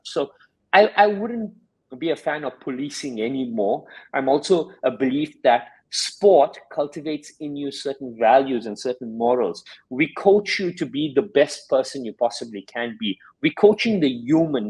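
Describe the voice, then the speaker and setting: Husky-voiced man coaching with conviction. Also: mid-range voice, a little mellow, poor audio. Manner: reflective, explains with examples. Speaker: sports scientist and performance coach. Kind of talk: interview answers.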